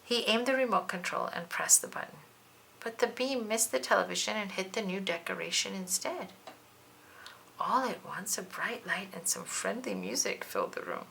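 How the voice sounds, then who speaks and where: A woman's voice: mid-range voice, sing-song, normal speed, creaky, pushed and bright, a little hiss or hum, rough recording. A woman reading a children's storybook aloud, narrating expressively and dramatically. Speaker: children's book reader.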